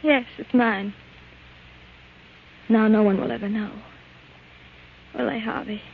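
A woman's voice: fairly high pitch, fairly even intonation, slow pace, a little hiss or hum. An actress playing a distraught, guilt-ridden young woman in 1940s radio drama style. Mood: tense, confessing. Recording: muffled old radio recording.

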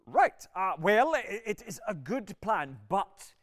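A man's voice: high-pitched, big pitch swings, slow, fairly bright tone, full character voice. A man playing a nervous TV executive in a comedy drama, stammering and repeating his words as he tries to placate people. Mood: flustered.

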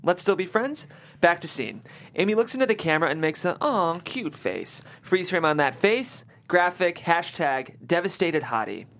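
Woman reading screenplay directions out loud, lowish voice, really swoopy and emphatic. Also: sing-song, normal speed. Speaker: stage directions reader. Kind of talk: script read aloud at a table read.

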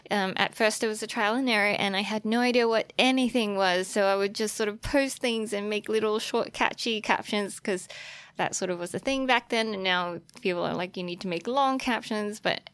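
A woman's voice: mid-range voice, normal speed, fairly bright tone, clear, resonant tone, no echo. A young adult woman, chatting casually as a guest in an interview. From Australia, born in Taiwan. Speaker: podcast guest, fashion influencer.

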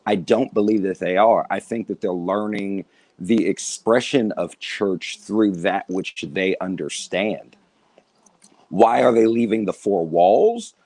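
A man's voice: fairly low pitch, slow, darkish tone, dry recording. A man in his thirties, a guest in a casual discussion, speaking with an emphatic, preacherly cadence. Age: 30s.

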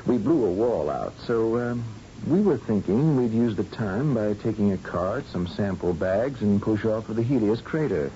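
A man acting an earnest, secretive space scientist in old-time radio style. His voice is on the low side and mellow. The recording is dull and noisy. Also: animated, normal speed, lightly acted. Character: earnest, secretive male research scientist on a colonized planet.

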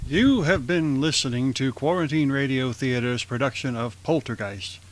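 A man's voice: mid-range voice, moderate pace, a little hiss or hum. A man announcing as the host of a radio drama show, reading credits and sign-offs.

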